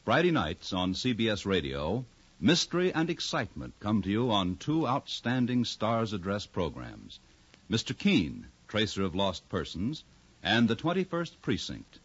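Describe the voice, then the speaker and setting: A man's voice: lowish voice, wildly swooping pitch, a little slow, a bit bright, breathy edge. A man with an American accent doing polished, formal, emphatic scripted announcing in 1950s radio style. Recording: dull old radio recording.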